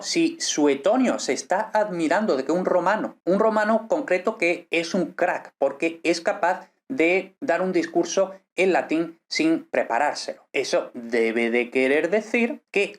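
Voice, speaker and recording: Emphatic man lecturing. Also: fairly high pitch. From Spain. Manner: emphatic, scornful of bad arguments. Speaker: philologist and educational video host. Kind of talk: prepared explanatory video lecture.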